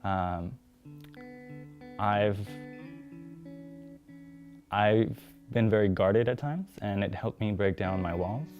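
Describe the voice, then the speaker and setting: A man's voice: on the low side, slow, long pauses, highs cut off. A young man, a former theatre intern, reflecting sincerely in a documentary interview. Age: young adult. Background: soft guitar music.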